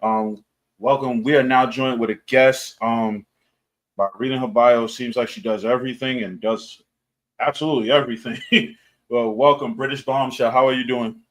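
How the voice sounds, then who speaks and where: A man's voice: medium pitch, steady tone, moderate pace. A man hosting a casual podcast interview, speaking in a hesitant, loosely improvised way. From New York, United States. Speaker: podcast host.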